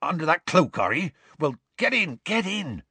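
Casting: huge rustic storybook bloke, husky and gruff, blunt, evasive, soft-hearted, swinging pitch. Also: male voice, very animated, moderate pace, no echo, exaggerated voice. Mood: alarmed, hushed. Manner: rustic dialect, blunt, blustering.

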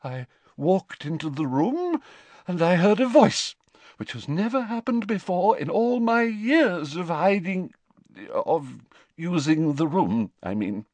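A haughty older woman who teaches divination, acted out by a male storybook reader. The voice is pitched high and swings widely, drawing words out with theatrical, mystical dignity. She sounds self-important and easily affronted, and the recording is close and dry. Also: big pitch swings, takes it slowly, a little mellow, dry recording, full character voice. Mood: flustered, sniffy.

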